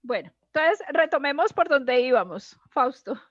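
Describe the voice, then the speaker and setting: A woman's voice: high voice, lively intonation, moderate pace. A woman hosting an interview in a casual, chatty way over a lo-fi connection. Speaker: interviewer, host. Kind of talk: casual chat.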